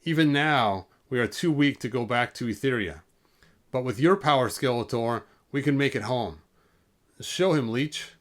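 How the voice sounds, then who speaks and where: A man reading the part of a scheming villain warlord from a fantasy storybook, threatening and deliberate. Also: mid-range voice, lively intonation, lightly acted.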